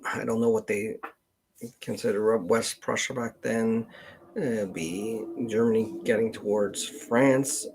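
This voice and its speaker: A man with a gravelly, husky voice, hosting a casual question-and-answer chat and haltingly thinking aloud. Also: medium pitch, poor audio. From Canada. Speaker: host and genealogist taking questions.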